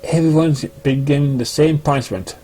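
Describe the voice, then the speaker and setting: Man voicing a stern lecturing schoolteacher pony, highish. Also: normal speed, warm tone, natural voice, rough recording. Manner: formal, lecturing. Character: stern but fair schoolteacher pony, measured and principled.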